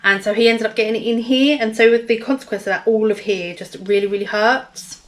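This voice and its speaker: A woman with a hoarse, gravelly voice telling a personal story in a casual vlog, rambling with many fillers and restarts. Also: on the high side, fairly quick. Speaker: vlogger.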